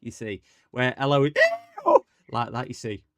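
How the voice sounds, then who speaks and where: A man's voice: medium pitch, fairly even intonation, normal speed, no echo, studio quality. A British man chatting casually on a repair livestream, rambling in a jokey, mock-indignant manner. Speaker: streamer doing electronics repairs. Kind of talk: casual livestream chat and prank phone call.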